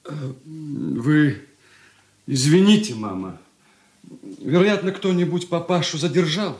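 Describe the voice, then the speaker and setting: Self-conscious grown son, polite, expressive pitch, stage radio drama, reverberant room. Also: male voice, slow. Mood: polite, flustered. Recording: roomy 1980s radio play recording.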